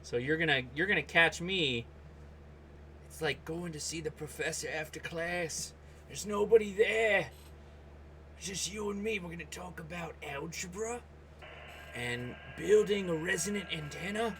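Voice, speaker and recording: A man hosting a hobby livestream, chatting casually and reacting aloud in an excitable way, often addressing people by name. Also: a little high, measured pace, noisy background. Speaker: host of a ham radio livestream. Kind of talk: casual livestream chat and running commentary while operating radio.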